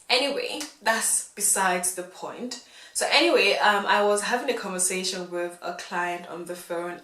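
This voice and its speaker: A young woman of British and Nigerian background talking straight to camera in a casual vlog, chatty and animated, laughing now and then. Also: lowish voice, echoey room. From England and Nigeria. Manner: chatty, animated with hand gestures, laughs often. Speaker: vlogger. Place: indoors against a plain grey wall. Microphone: camera in front, head-and-shoulders framing.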